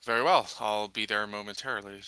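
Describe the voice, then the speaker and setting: A man's voice: lowish voice, steady tone, brisk, sharp tone, clear, resonant tone, no room sound. A man taking part in a tabletop roleplaying game, discussing his moves in casual talk.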